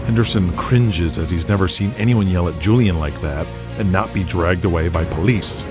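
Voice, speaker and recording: Deep-voiced male narrator of an audio drama. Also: moderate pace. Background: music.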